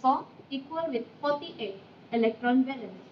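Echoey, high-pitched young woman. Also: lively intonation, moderate pace. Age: young adult. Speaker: student presenter. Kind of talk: step-by-step classroom explanation. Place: classroom at a whiteboard. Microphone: camera across the room. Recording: echoey, dull, distant mic, faint noise.

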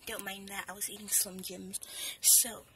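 A woman's voice: flat delivery, normal speed. Giggly girl half-whispering in a closet. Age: young, a minor. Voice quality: high-pitched. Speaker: vlogger filming her gaming corner. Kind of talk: casual phone vlog tour. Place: small dark closet at home. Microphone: handheld phone camera. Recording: noisy phone recording with clicks.

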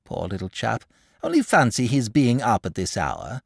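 Storybook dragon, played by a man: idle, self-satisfied, blustery drawl, swooping pitch, gravelly edge. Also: wildly swooping pitch, moderate pace, no echo, studio quality, heavily acted. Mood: blustering, indignant. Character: lazy, jovial, self-satisfied sleepy male dragon. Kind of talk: storybook character voice.

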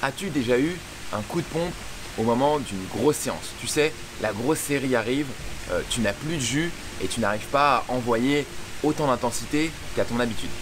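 A young man hosting a swimming tutorial, speaking directly and informally to the viewer. He sits outdoors by a pool with a camera framing him at chest height. A steady hiss sits under his voice. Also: mid-range voice, normal speed. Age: young adult. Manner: addresses viewer informally, clear explanatory delivery. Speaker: swim coach and channel host.

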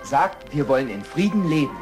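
An actor playing a conciliatory jungle animal counsellor, advising in a measured way in a children's cartoon radio play. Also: male voice, fairly high pitch, sing-song, over music. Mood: kindly, serious.

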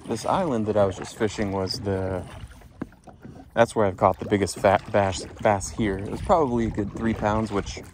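A man's voice: on the low side, fairly even intonation, moderate pace, a little mellow. A man talking casually and playfully to himself as he films an outdoor vlog in a kayak on the water, rambling along. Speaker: host. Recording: noisy outdoor recording on the water.

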